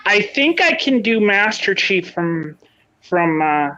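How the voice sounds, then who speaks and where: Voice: mid-range voice, animated, takes it slowly, a crowd in the background. A podcast co-host chatting casually from home on their own mic, hesitant with many ums and restarts. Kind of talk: casual chat. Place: home, with children in another room. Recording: dull lo-fi sound.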